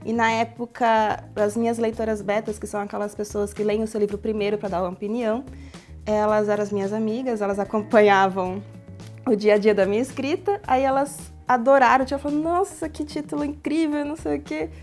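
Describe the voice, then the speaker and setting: A woman's voice: a little high, brisk, faint music behind. Chatty Brazilian woman from São Paulo's interior. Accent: Brazilian Portuguese, São Paulo interior. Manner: talkative, animated, long explanations. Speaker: novelist guest. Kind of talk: TV studio interview.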